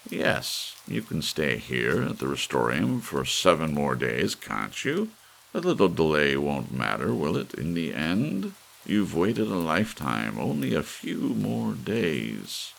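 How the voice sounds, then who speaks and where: A man's voice: very deep voice, wildly swooping pitch, takes it slowly, creaky, pitched above the performer's own voice, full character voice. A man voicing an evasive showman in an audiobook story, soothing and stalling as he placates angry customers. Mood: placating.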